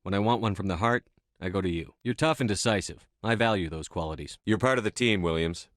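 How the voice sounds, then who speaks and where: A man acting a stern, steady space-navy commander in a video game, with a measured, dry, authoritative delivery. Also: deep voice, dry recording. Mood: earnest, steady.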